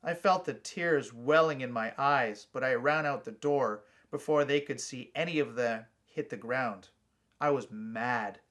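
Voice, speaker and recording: A man's voice: medium pitch, measured pace, fairly bright tone. A man reading his own short story aloud as a scripted first-person narration.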